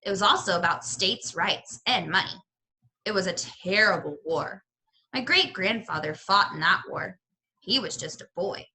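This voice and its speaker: A woman's voice: medium pitch, measured pace, sharp tone, low-quality recording. A woman voicing an elderly, genteel lady librarian in a storybook, exclaiming theatrically and fond of telling tales. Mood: reminiscing.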